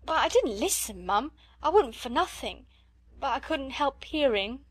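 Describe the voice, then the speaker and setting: A woman's voice: high voice, expressive, measured pace, dry recording, pitched above the performer's own voice. A woman voicing a timid, tearful young scullery maid who pleads in a servant's dialect. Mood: frightened.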